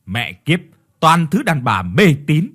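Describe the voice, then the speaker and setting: A man doing a gruff, cursing old husband. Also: medium pitch, very animated, normal speed. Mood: scolding. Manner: scolding, cursing, blustering. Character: gruff, short-tempered older village husband.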